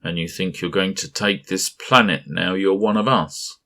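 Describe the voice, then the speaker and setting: A man voicing a giant gaseous male creature who mocks and menaces in a slow, leering drawl. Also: deep voice, pushed and bright. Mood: cold taunting. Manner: taunting, drawn-out leering delivery.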